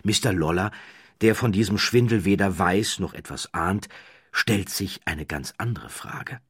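A man narrating a scripted audiobook story in a measured way with dramatic emphasis. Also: low voice, fairly bright tone, husky, no echo, clean studio sound.

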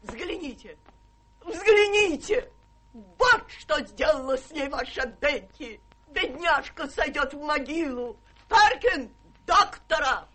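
A woman's voice: sits high, animated, slow pace, bright, forceful tone, heavily acted. An actress playing an anxious, fussing genteel companion to a rich lady, melodramatic and pleading in radio-drama style. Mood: frantic, indignant. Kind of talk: stage-trained radio drama acting.

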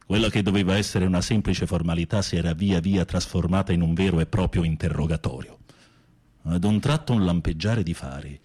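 A deep, husky, wistful male prisoner. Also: a little fast, darkish tone. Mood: suspenseful storytelling. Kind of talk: radio drama acting.

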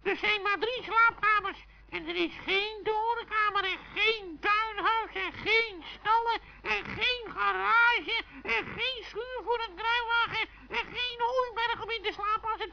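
A cheeky, spoiled little beast in a children's radio play. The voice is tiny, shrill and extremely high, with a childish, demanding whine. The old recording sounds dull. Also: female voice, steady tone, moderate pace, vocal fry, few pauses, sharp tone, exaggerated voice. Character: spoiled, cheeky, whining little horse-like fantasy creature.

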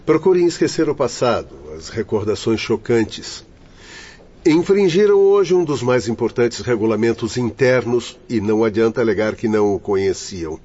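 An actor playing a firm, solemn, admonishing hospital attendant in a Brazilian radio drama. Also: male voice, mid-range voice, expressive, moderate pace, mellow, breathy edge. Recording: muffled radio drama with faint music.

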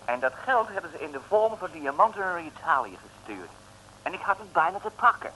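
Polite English investigator, English accent, bright animated voice, old radio drama. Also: male voice, high-pitched, a little fast, full character voice. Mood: confiding. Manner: courteous, exclaiming, mixing in English phrases. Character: excitable polite Englishman, an investigator hunting diamonds. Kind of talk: 1960s radio serial acting. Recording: old noisy radio recording, faint hiss.

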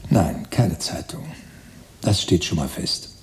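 An actor playing a wistful, romantic writer-narrator in a literary radio drama, musing deliberately in short phrases. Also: male voice, deep voice, lively intonation. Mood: brooding. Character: wistful romantic male narrator-writer recalling his emigration voyage. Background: music.

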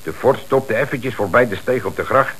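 A man's voice: mid-range voice, normal speed, a bit bright, natural voice. A man acting a cheeky, evasive petty crook with a Netherlands Dutch accent and hesitant street talk, in a radio play. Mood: explaining. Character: evasive, cheeky small-time crook, one of two brothers. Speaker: actor. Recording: hissy old radio recording.

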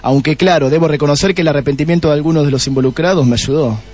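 Casting: male actor as cocky Argentine sleuth, high-pitched, self-satisfied, theatrical radio-play delivery. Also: darkish tone, no room sound, soft background music, close to an everyday voice. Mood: smug. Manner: self-satisfied, explaining with dramatic pauses. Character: cocky young male police detective, smug and theatrical. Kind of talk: comedic radio drama acting.

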